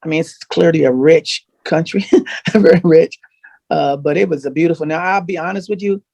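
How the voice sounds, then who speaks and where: A man's voice: fairly high pitch, moderate pace, gravelly, no echo. A man, a guest singer, chatting on a video call and telling stories with lots of restarts. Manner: animated, storytelling, frequent restarts. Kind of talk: casual chat on a video call.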